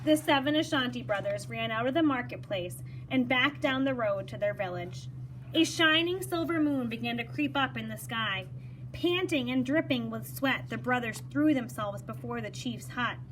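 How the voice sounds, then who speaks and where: A woman reading a children's story aloud in an animated way, acting out dialogue and pausing to explain words. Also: fairly high pitch, a little hiss or hum, poor audio. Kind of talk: expressive read-aloud with brief explanations for children.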